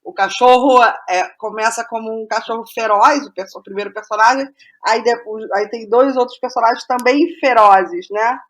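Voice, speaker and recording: A woman with a Brazilian accent and a fairly high voice talks casually as an interview guest. She thinks aloud, often repeating a phrase before carrying on. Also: moderate pace, a bit bright. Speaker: guest playwright.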